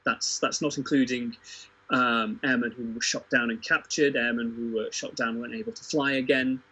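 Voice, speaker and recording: A man discussing history informally, thinking aloud haltingly and repeating words as he goes. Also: medium pitch, fairly even intonation, bright, forceful tone, slightly rough, low-quality recording. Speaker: podcast panellist.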